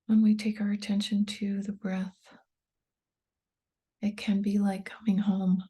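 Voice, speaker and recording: A woman guides a meditation in a breathy, mellow voice. Her delivery is soft and calm, staying on an even, gentle line with little rise or fall. She leaves unhurried pauses between short instructions. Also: mid-range voice. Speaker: meditation guide, tapping coach.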